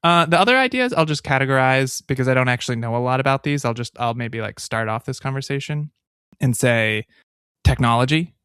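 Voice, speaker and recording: A man's voice: medium pitch, expressive, fairly quick, a bit bright, clean tone, no room sound, clean studio sound. A man co-hosting a podcast, rambling in casual chat with lots of fillers. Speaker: podcast co-host.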